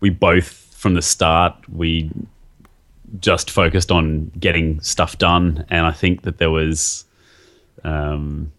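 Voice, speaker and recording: A man's voice: rumbling low, level tone, slow pace, a bit bright. A man interviewed as a guest artist, talking casually and hesitantly, with many fillers and restarts.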